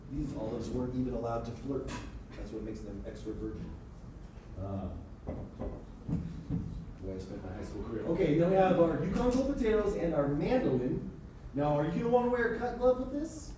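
A man in his thirties narrating a cooking demo as comic improv, rambling with many fillers and restarts, in a large studio kitchen on a lapel mic. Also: mid-range voice. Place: large home-style studio kitchen, indoors. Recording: echoey kitchen, from a distance, with noise.

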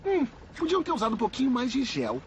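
A man with a Brazilian accent voicing an anxious, excitable talking pet dog in a dubbed cartoon. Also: very high voice, quick pace, exaggerated voice. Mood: excited. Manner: excitable, exclamatory. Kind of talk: animated cartoon dub. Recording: loud cartoon music behind.

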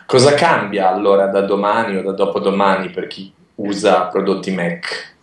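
A man from Italy interviews an expert with brisk, curious questions. His voice is somewhat low and comes from a distance from the microphone, and the recording is heavily echoey. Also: fairly even intonation. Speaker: interviewer, newspaper editor.

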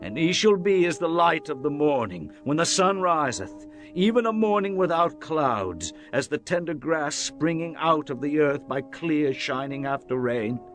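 An actor playing an aging, devout biblical king in dramatized scripture, speaking in a deliberate, declamatory way. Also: fairly high pitch, expressive, faint music behind. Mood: solemn, exalted.

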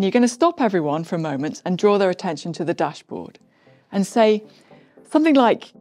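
Middle-aged woman, warm and mellow, clear friendly tutorial delivery, faint music bed. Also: mid-range voice. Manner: clear, friendly explaining with lively emphasis. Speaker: software coach. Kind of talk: explanatory tutorial to camera. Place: studio with plain backdrop.

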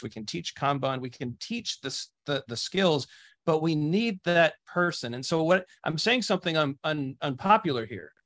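A man on a video call panel argues his point with fervent, emphatic phrasing. His voice sits a bit higher than most men's, and the sound is dry and close. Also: a bit bright, no room sound. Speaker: panelist, agile coach. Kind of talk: casual panel discussion.

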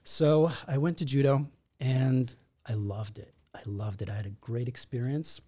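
A man in his forties from Israel, a podcast guest, telling his story in a reflective, measured way at a studio mic. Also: medium pitch, fairly even intonation, dark tone. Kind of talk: conversational storytelling. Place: podcast studio in a martial arts gym. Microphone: boom-arm studio mic with headphones.

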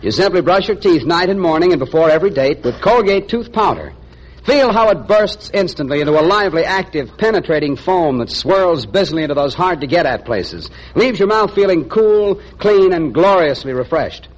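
A man's voice: a little high, expressive, normal speed, poor audio. An American man announcing on 1940s radio, reading a scripted sponsor's commercial in the sales style of the era. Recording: 1940s radio broadcast recording.

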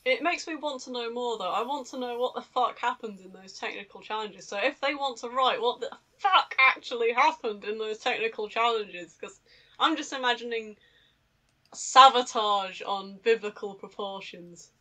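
A woman's voice: on the high side, normal speed, bright, forceful tone. A woman co-hosting a podcast in casual chat, riffing playfully and swearing freely. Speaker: podcast co-host.

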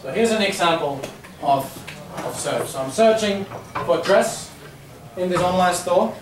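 A man's voice: high-pitched, expressive, unhurried. A man in his thirties with a Finnish accent, giving a casual business talk to a room, repeating words and saying um a lot. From Finland. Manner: chatty, repeats words, many ums. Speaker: presenter. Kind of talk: business presentation. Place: conference room with audience. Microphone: far camera, no mic. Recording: echoey room from far off, faint hum.

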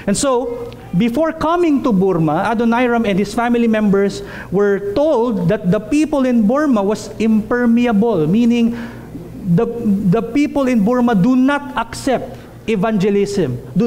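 A man's voice: very high-pitched, expressive, moderate pace, dark tone, slight background noise. A man in his thirties preaching a sermon in a small church hall, earnest and emphatic, repeating phrases for stress.

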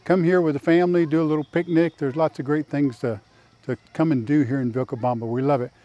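A man's voice: a little high, steady tone, quick pace, mellow, highs cut off. An older man hosting a casual walking tour outdoors on a lapel mic, folksy and plain-spoken. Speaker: vlog host and tour guide. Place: outdoors in a park.

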